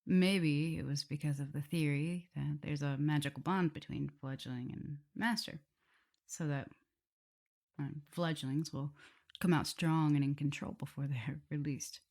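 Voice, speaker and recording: Woman acting a low, creaky, tender vampire mistress. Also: fairly even intonation. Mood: reflective. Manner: hesitant, halting, breaking into whispers and soft laughs. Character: tender, nervous, guilt-ridden female vampire mistress in love. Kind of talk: intimate ASMR roleplay to the listener.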